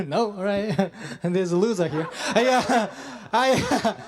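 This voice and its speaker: A young man does a stand-up set with a high, light voice. He runs on in a halting, self-deprecating way, with plenty of fillers and restarts. The club's long reverb rings around each line. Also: animated. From Japan. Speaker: stand-up comedian.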